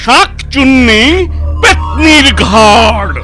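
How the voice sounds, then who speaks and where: Fierce, slow-chanting cartoon exorcist. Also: male voice, very high voice, animated, pushed and bright, soft background music, heavily acted. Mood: fierce, boastful. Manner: theatrical incantations in rhyming nonsense words. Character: fierce male village exorcist chanting spells. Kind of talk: Bengali children's cartoon acting.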